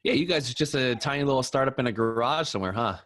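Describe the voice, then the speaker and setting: A man's voice: medium pitch, animated, fast, fairly bright tone, no room sound, studio quality. A man hosting a podcast interview who asks questions in a casual, chatty, joking way. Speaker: podcast host.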